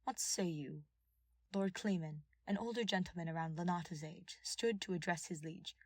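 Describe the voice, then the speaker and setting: A woman narrates dramatic fiction in a scripted audiobook style. Her voice is husky and sits a little low, recorded very dry. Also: a little fast, no room sound.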